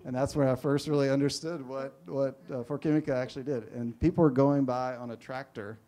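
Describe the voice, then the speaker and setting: A man's voice: mid-range voice, steady tone, fairly quick, dark tone. A man moderating a panel, introducing the speakers in a rambling, self-deprecating way. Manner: rambling, self-deprecating, many fillers and restarts.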